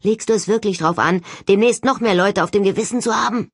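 A narrator voicing an argumentative, sceptical young male ghost hunter who pushes back with forceful sarcasm in an audiobook. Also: mid-range voice, a little fast, no room sound, clean studio sound, pitched above the performer's own voice, lightly acted. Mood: angry, accusing.

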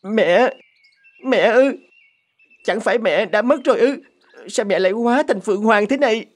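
A man voicing a gentle, grieving young man in a cartoon folk tale, tender and plaintive. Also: high-pitched, moderate pace. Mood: bewildered. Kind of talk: animated fairy-tale dubbing. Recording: music under the voice.

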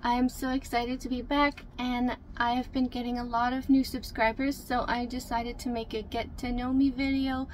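A woman in her twenties talking casually to camera in a small camper, soft-spoken and chatty, with many ums as she reads from notes. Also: on the high side, slight background noise. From Canada. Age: 20s. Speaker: vlogger. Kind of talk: casual get-to-know-me talk to camera. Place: small room inside a camper. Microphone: camera in front at close range.

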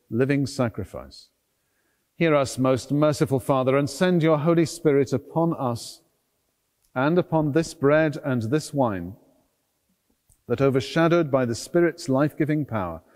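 Middle-aged priest praying in a reverberant church. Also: male voice, mid-range voice, darkish tone. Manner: measured, declaiming in short deliberate phrases. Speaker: priest, celebrant at a church service. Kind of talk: solemn liturgical prayer. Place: large stone cathedral, at the altar. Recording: long reverb of a large church.

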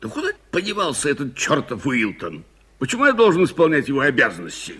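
An actor in a Soviet radio play playing an irritable, impatient middle-aged gentleman, brusque and commanding. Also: medium pitch, wildly swooping pitch, fast talker, slightly rough, full character voice. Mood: irritated, impatient. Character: irritable, impatient gentleman suspect, uncle of a nephew.

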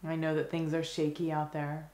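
A woman leading a guided meditation in a gentle, soothing manner, leaving long unhurried pauses between phrases. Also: sits low, completely flat delivery, roomy sound.

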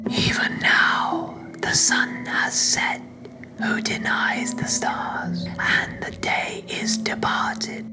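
A performer voicing a solemn, ominous prophet to a king in an audio play, in a breathy, incantatory whisper. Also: natural voice. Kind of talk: dramatic audio play acting.

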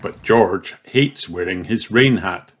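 A man's voice: sits low, slow pace, noisy background. A man reading a children's storybook aloud as scripted narration. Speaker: storybook reader.

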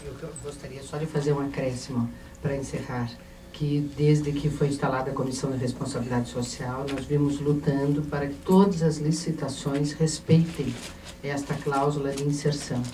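A middle-aged Brazilian woman giving a formal tribute from a panel in a measured, ceremonious way. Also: a bass voice, level tone, dark tone. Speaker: panel member. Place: indoor auditorium panel table. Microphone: handheld mic, recorded by a distant camera. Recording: echoey, noisy hall from far off.

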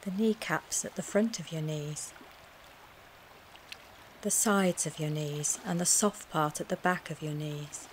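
A woman with a slightly low voice guides a mindfulness relaxation session. She speaks gently and soothingly, in short measured phrases with pauses between them. There is clear background noise on the recording. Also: fairly even intonation. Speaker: wellness coach guiding a relaxation session.

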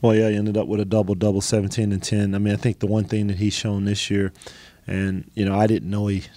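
Low, flat-voiced coach at a press conference. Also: male voice, no room sound. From United States. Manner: halting, many ums and restarts. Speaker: head basketball coach. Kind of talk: post-game press conference answers.

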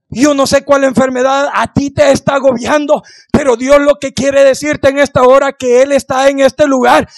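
A middle-aged man delivers a fervent sermon in a very high, gravelly, strained voice. He exhorts his listeners, repeating phrases for emphasis and throwing out questions. The sound is dry, from a handheld microphone held close. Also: no echo. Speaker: preacher. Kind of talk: impassioned Pentecostal-style sermon. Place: indoor church hall, on the platform.

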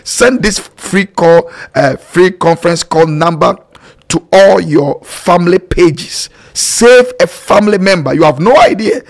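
A man's voice: high voice, a little slow. A middle-aged man preaching and leading prayer fervently, declaiming in short, repeated phrases. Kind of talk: sermon and led prayer. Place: small dark studio, indoors. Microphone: close boom studio microphone with headphones.

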